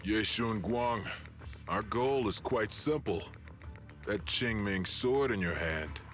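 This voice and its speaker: A man's voice: lowish voice, expressive, slow, fairly bright tone, soft background music. An actor playing a cocky, callous male villain henchman in a video game, taunting and sneering.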